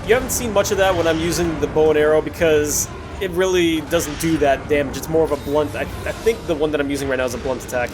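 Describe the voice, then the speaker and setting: A man hosting a gameplay series with casual, chatty, reflective commentary that often pauses mid-sentence. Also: on the high side, noisy background. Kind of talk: casual post-recorded game commentary. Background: music under the voice.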